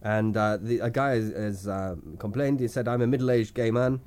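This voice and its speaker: A man voicing an odd foreign male stand-in producer with a thick put-on accent, halting and full of fillers. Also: mid-range voice, fairly even intonation, a little mellow, natural voice. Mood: rambling. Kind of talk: improvised comedy podcast character.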